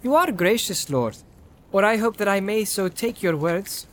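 A man's voice: sits high, expressive, normal speed, a little hiss or hum, voice pushed up. A man voicing a small, cheeky young halfling man with pompous, courteous speech in a storybook reading. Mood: polite. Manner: mock-formal, pompous politeness.

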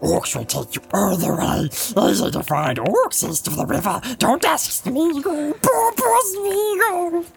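A woman's voice: high voice, sing-song, unhurried, creaky, few pauses, faint music behind, full character voice. A woman voicing a wretched, split-minded male creature in an audiobook, hissing, whispering and whining, swinging between whimpers and shrieks. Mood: cackling. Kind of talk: audiobook character voice.

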